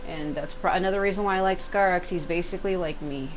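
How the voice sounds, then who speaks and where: A woman's voice: on the low side, quick pace, background noise. A woman doing casual gaming commentary, rambling and informal with some swearing. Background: game music.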